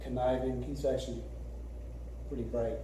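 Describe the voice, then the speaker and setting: Uomo accomodante lontano dal microfono. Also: male voice, medium pitch, level tone, moderate pace, mellow, slight background noise. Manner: agreeable, backs others up. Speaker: podcast co-host. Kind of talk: casual chat. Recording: echoey room, far from the mic.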